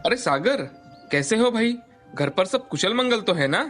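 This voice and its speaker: A man's voice: sits high, animated, moderate pace, fairly bright tone, soft background music. A man voicing a friendly adult male cousin in a cartoon, cheerfully giving advice. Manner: friendly, advising.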